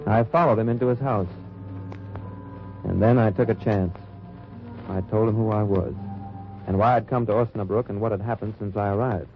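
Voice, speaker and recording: A hard-bitten undercover agent, voiced by a man in an old radio drama, dry and wry in his first-person telling. His voice sits low. The sound is dull and lo-fi, with faint music under it. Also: fairly even intonation, moderate pace, long pauses, lightly acted. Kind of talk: 1940s radio acting.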